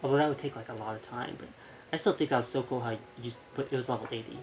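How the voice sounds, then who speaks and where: A man's voice: mid-range voice, fairly even intonation, rapid-fire, noisy background. A young man giving casual live gameplay commentary, rambling and thinking aloud. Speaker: gaming streamer. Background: game music.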